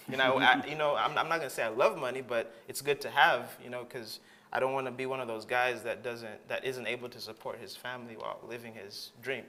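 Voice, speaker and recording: A man's voice: medium pitch, fairly even intonation, fairly quick, pushed and bright. A man from Tanzania, a graduate student, answering interview questions with enthusiasm and lots of "you know" fillers. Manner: enthusiastic, rambling with many fillers.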